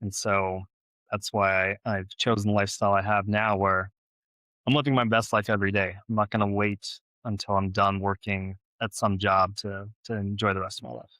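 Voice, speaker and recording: A man's voice: lowish voice, level tone, moderate pace, pushed and bright, no echo. A man who grew up in Los Angeles, answering questions conversationally as an interview guest. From born in Ukraine, grew up in Los Angeles, United States. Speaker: podcast guest, real estate investor and former Navy officer.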